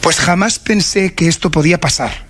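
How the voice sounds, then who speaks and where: A man from Spain with a notably high voice is being interviewed live. He speaks formally and deliberately, with solemn emphasis, and sounds saddened and shaken. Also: fairly bright tone. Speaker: prosecutors' association president, interviewee. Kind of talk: live radio phone interview.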